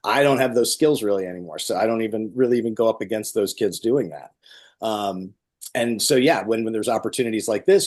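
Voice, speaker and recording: A man's voice: on the low side, darkish tone. A man talking casually as a guest in an interview about his acting, explaining at length in a rambling way. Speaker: guest, amateur stage actor.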